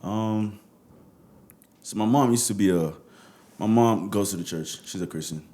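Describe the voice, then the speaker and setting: A man's voice: fairly low pitch, measured pace, mellow. A young Nigerian man with a Nigerian accent, talking casually as a musician guest in an interview.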